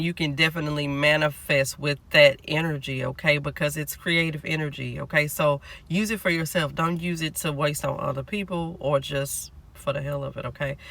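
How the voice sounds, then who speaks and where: A man's voice: on the high side, pushed and bright, no echo. A man giving a collective tarot reading, sounding nasal as he gets over a cold, chatting and checking in with listeners. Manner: chatty, keeps checking in with "okay?". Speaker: tarot reader.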